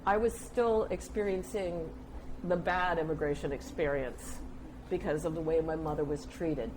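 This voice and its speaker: An older woman, telling stories conversationally as an interview guest, with an animated manner. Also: low-pitched, moderate pace. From United States. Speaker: interview guest, communications specialist. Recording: clear background noise and room ambience.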